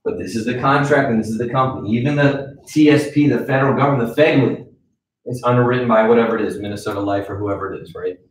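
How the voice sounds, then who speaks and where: A man in his forties leading a live sales training in a conference room, with direct, animated coaching and frequent check-ins. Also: mid-range voice, fast talker. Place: conference room with a wall screen. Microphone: far camera. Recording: echoey room from far off.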